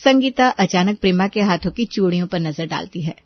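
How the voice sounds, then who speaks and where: A woman reading scripted storytelling narration for an animated moral tale. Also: fairly low pitch, brisk, dull audio.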